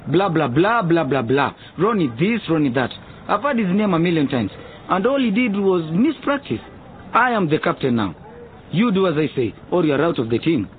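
An actor playing a bossy, boastful young team captain in a radio drama. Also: male voice, fairly high pitch, sing-song, normal speed, narrow, tinny audio, a little hiss or hum. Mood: irritated, bossy. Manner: curt, domineering.

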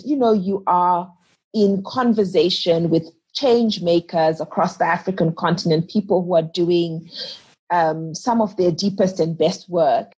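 A woman's voice: lowish voice, a little mellow, husky, poor audio. A woman in her thirties from Zimbabwe, a guest speaking thoughtfully and earnestly in an interview. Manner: thoughtful, earnest, restarts phrases, voices her inner questions. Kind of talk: reflective interview talk.

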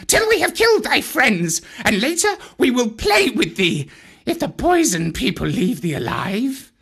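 A reader voicing a mob of boastful, chattering monkeys in a high, shrill chant for an audiobook. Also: very animated, unhurried, creaky, fairly bright tone, breathy edge, heavily acted. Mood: frenzied. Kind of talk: audiobook character voice.

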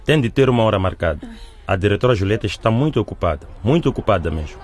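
A man's voice: fairly low pitch, expressive, fast, lightly acted. A man playing an officious, brusque school gate guard in a radio drama, deferential to his boss.

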